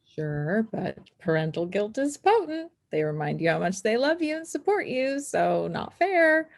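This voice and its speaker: A woman's voice: mid-range voice, sing-song, unhurried, gravelly. A woman reading the part of a guarded, anxious but wry teenage snowboarder girl at a screenplay table read. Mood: wry, friendly.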